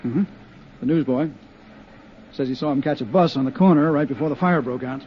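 An actor playing a steady, practical male investigator who talks in a measured, matter-of-fact way, in a muffled 1950s radio drama. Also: mid-range voice, lightly acted. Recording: muffled 1950s radio broadcast with faint hiss.

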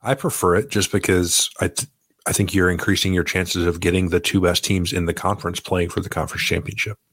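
A man co-hosting a sports betting podcast, measured and analytical as he weighs the odds. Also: low-pitched, level tone, a bit bright, breathy edge, no room sound. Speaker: podcast co-host. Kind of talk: casual sports betting chat.

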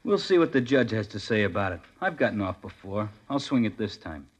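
A man's voice: lowish voice, sing-song, brisk, lo-fi sound. An actor playing a sarcastic, defiant sixteen-year-old delinquent boy in a 1950s police drama, sneering through his answers.

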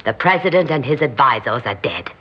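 An actress playing a grandiose, scheming exiled society hostess in an old radio drama, theatrical and imperious. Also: female voice, extremely low pitch, animated, a little fast, husky, heavily acted. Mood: coolly commanding. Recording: muffled old radio recording.

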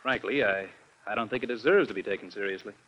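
An actor playing a President's flippant, carefree young adult son in an old-time radio drama, glib and defensive. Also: male voice, lowish voice, fast, a bit bright, natural voice. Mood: breezy, flippant. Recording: dull lo-fi vintage radio recording.

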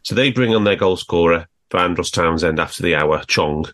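A man's voice: deep voice, fairly quick, a bit bright. A man hosting a casual football discussion, steering the conversation with questions and prompts. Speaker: podcast host.

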